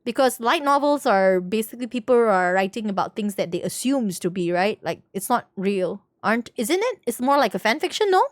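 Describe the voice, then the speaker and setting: A woman's voice: medium pitch, lively intonation, brisk, hardly any pauses, no room sound, clean studio sound. A woman live streamer chatting casually and informally with her viewers while playing a game, in a giggly way. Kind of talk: casual chat with stream viewers during gameplay.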